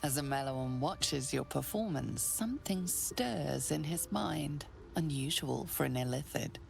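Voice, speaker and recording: A woman narrating a fantasy video game in a hushed, deliberate, dramatic scripted style. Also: low voice, lively intonation, gravelly, faint music behind.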